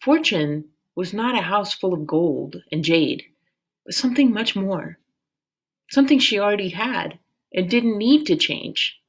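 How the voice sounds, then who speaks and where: A woman reading a children's novel aloud as expressive storybook narration. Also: mid-range voice, a little slow, slightly rough.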